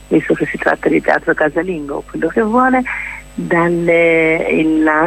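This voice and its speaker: Radio guest, woman, festival organiser; heavy creak, rough husky tone, halting explanatory delivery. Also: deep voice, rough recording. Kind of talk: informal radio interview.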